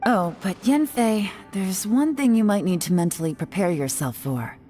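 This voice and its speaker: An actress playing a cool, composed young woman investigator in a video game, speaking in a measured, deliberate way. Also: mid-range voice, lively intonation, warm tone, soft background music, lightly acted. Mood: pensive.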